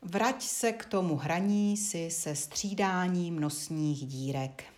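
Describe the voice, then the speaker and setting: A woman with a fairly low voice leads a guided movement lesson. She speaks as an instructor, giving short directions and leaving frequent pauses between them. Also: darkish tone. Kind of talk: guided Feldenkrais body-awareness lesson, spoken instructions.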